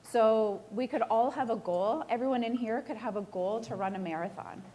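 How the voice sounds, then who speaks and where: A woman real estate agent giving a casual live presentation to a room, hoarse and close to losing her voice, chatty and self-deprecating as she puts questions to the audience. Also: a little high, normal speed, warm tone.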